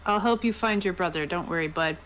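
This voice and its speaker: A woman's voice: lowish voice, a little fast, fairly bright tone, a little hiss or hum, low-quality recording. A woman playing a tabletop roleplaying game, chatting casually.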